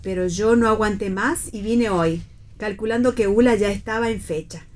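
A middle-aged Argentine mother, played by an actress in a radio play. She is anxious to smooth things over, courteous and wordy, at an ordinary female pitch. There is slight noise in the recording. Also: moderate pace, lightly acted. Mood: rambling, earnest. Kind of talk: theatrical play reading for radio.

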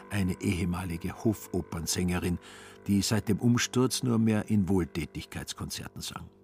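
A man narrating a literary radio play in a wry, measured storytelling manner. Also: on the low side.

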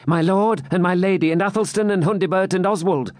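A man's voice: high-pitched, brisk, dry recording, studio quality, pitched above the performer's own voice, lightly acted. A man doing a wry, raised-voice court jester. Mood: anxious.

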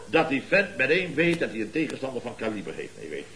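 An actor playing a blustering, boastful contractor boss in a Dutch radio comedy, with a pompous, theatrical, lecturing manner. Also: male voice, medium pitch, big pitch swings, fast, sharp tone. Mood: smug, boastful. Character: blustering, boastful contractor boss and uncle, scheming wheeler-dealer.

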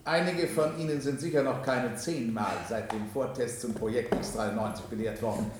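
An actor playing an officious, procedural official briefing staff in a 1970s radio drama. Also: male voice, mid-range voice, steady tone, moderate pace, noisy background, lightly acted. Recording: very echoey hall, distant, crowd murmur.

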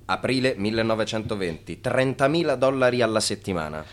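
An actor playing a declamatory chronicler in a radio play, announcing in a formal, list-like style. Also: male voice, on the low side, expressive, brisk, lightly acted. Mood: factual, declamatory. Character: declamatory male chronicler listing investors and sums.

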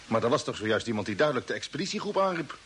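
An actor playing a determined expedition commander in an old radio drama, with a commanding, earnest manner. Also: male voice, medium pitch, sing-song, racing, fairly bright tone. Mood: agitated. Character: determined male space expedition commander on a Mars mission. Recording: old radio recording with faint hiss.

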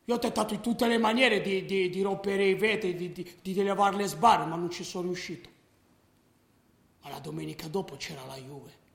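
Young delinquent fan, high creaky voice. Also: male voice, fairly bright tone. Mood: matter-of-fact bravado. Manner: colloquial, matter-of-fact, halting in places. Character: restless delinquent young male football fan, unrepentant. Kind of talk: radio drama confessional monologue. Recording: echoey room sound.

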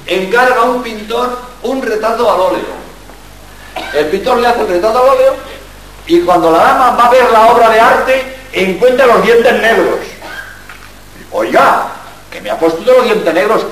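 An older Jesuit priest from Spain gives a public lecture. He jokes and fires rhetorical questions at the room in a fairly high voice. The recording is distant and heavily echoey, like a large hall. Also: a little hiss or hum. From Spain, born in Barcelona. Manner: lively, joking, full of rhetorical questions. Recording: very echoey hall, mic far off.

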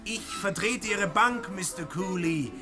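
A prim, officious banker with a high voice. He speaks very slowly and formally in a radio play, over clear background music. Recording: music behind the voice.

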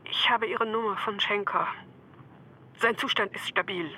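An actress playing the worried wife of a gravely ill man in a radio drama, speaking breathily and with apologetic restraint. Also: medium pitch, fairly even intonation, moderate pace, pushed and bright, a little hiss or hum, close to an everyday voice, rough recording. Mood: sad, restrained. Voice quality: breathy, whispery. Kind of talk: radio drama phone dialogue.

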